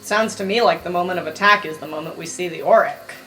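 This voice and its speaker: A woman's voice: on the low side, quick pace, a bit bright, faint music behind, rough recording. A woman improvising roleplay as a player in a tabletop game.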